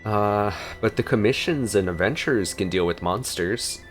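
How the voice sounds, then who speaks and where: A man's voice: on the low side, normal speed. A man giving informal gameplay reaction commentary, musing aloud as he plays, with game music behind him. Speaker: game streamer.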